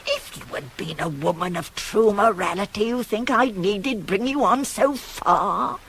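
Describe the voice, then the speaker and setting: An actress playing a shrewd, pragmatic older nurse in a radio Greek tragedy, arguing with emphatic, insinuating rhetoric that can drop to hushed tones. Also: female voice, mid-range voice, animated, measured pace, slightly rough, full character voice. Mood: indignant. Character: older female nurse, shrewd, pragmatic, persuasive confidante of a queen. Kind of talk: 1980s radio play acting of Greek tragedy. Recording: hissy old cassette radio recording.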